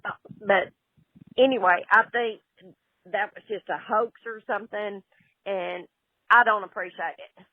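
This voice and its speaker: A woman's voice: medium pitch, a little slow, long pauses, no echo. A woman talking unscripted on the phone, hesitant and often repeating herself. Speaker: prank call recipient. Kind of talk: unscripted phone conversation and voicemail. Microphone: telephone. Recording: tinny, narrow phone line.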